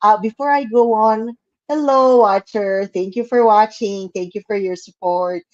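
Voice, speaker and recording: A woman's voice: medium pitch, fairly even intonation, a little slow, a little mellow, lo-fi sound. Chatty woman from the Philippines, giggly. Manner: giggly, chatty, many fillers. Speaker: co-host. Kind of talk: casual live chat.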